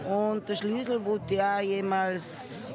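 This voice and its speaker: With a crowd clearly audible behind her, a woman gives a casual interview. She has a Salzburg Austrian accent. Her way of talking is wry and colloquial. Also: mid-range voice, steady tone, slow. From Saalfelden, Salzburg region, Austria.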